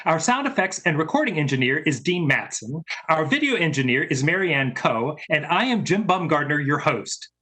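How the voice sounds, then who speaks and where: A man's voice: fairly high pitch, very animated, moderate pace, few pauses, bright, forceful tone. A man hosting a radio theater show, reading a scripted announcer sign-off.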